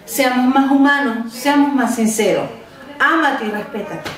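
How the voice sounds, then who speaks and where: A woman's voice: high-pitched, a little slow, noisy background. A woman from Venezuela, a workshop participant, giving a short, earnest and pleading spoken testimony. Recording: echoey room, mic far off.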